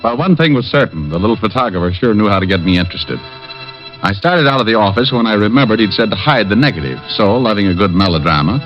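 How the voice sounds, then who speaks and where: An actor playing a wisecracking private eye who narrates and quips in a dry, sardonic way, in 1950s radio drama style. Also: male voice, on the low side, animated, fast talker, lightly acted. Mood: narrating. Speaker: narrator and lead. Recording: dull lo-fi old radio broadcast with faint music.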